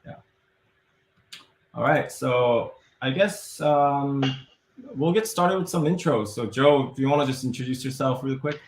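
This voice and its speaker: A man's voice: mid-range voice, measured pace, roomy sound, a little hiss or hum. A man with a Korean accent, a guest in a casual webinar chat, speaking hesitantly with many fillers. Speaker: startup advisor and guest.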